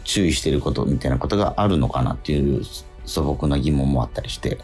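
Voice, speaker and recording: A middle-aged man chatting casually as a radio host, in a hesitant, halting and self-doubting way. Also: extremely low pitch, expressive, darkish tone, faint music behind. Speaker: radio host and stage actor.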